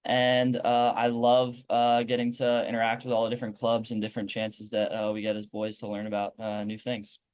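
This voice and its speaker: Male high school student, flat intonation, mid-range voice, short panel introduction. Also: fairly quick, few pauses, dry recording. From Florida, United States. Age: teens.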